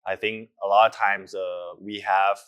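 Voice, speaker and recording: Earnest young man, lowish voice. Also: flat delivery, unhurried, fairly bright tone, clear, resonant tone. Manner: earnest, reflective, with hesitant fillers. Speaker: actor. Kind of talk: casual interview answers.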